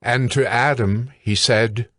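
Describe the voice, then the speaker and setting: A man narrating scripture from a script in a measured, solemn way. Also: mid-range voice, steady tone, fairly bright tone, dry recording, studio quality.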